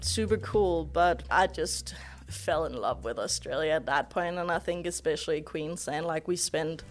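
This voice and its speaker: A woman's voice: low voice, moderate pace, faint music behind. A young woman from Denmark with a Danish accent, chatting casually as a podcast guest and laughing often. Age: young adult. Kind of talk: casual interview chat.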